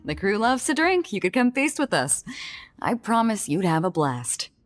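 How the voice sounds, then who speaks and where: A woman's voice: medium pitch, big pitch swings, moderate pace, faint music behind, natural voice. A woman voicing a hearty, boisterous female sea captain in a video game, bold and inviting. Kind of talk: video game character dialogue.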